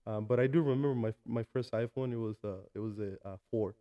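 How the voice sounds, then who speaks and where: A man in his early thirties from Mexico with a Mexican Spanish accent, a guest talking casually in an interview with many pauses and restarts. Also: medium pitch, muffled recording. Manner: hesitant, many fillers and restarts. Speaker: guest, food truck owner.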